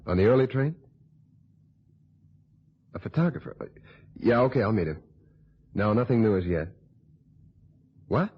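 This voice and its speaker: An actor playing a cool, calculating blackmailing reporter in a radio thriller, with a husky voice and a smug, sardonic way of telling things. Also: male voice, fairly low pitch. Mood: businesslike. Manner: smug, sardonic, unhurried storytelling. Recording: dull, lo-fi old radio recording.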